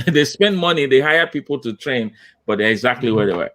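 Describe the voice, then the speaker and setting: A man's voice: medium pitch, a little fast. A middle-aged man, a diversity consultant, talking emphatically on a video call from a home office, repeating points for emphasis. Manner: animated, gestures with hands, emphatic repetition. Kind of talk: panel discussion. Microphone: laptop webcam on video call.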